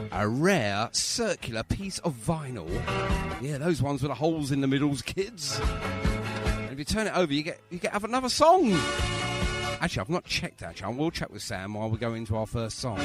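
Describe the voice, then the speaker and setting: Cheeky radio host guy, voice up a bit and bouncing around, music behind him. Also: a little high. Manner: cheeky, bantering. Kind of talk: chatty, jokey radio presenting.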